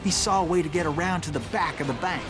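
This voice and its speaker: Man playing an eager, higher-pitched young Western storyteller. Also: quick pace, close to an everyday voice. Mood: admiring, dramatic. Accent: American. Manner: earnest, dramatic storyteller. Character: eager young male Western dime-novel fan, hero-worshipping. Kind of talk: scripted in-character narration. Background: music.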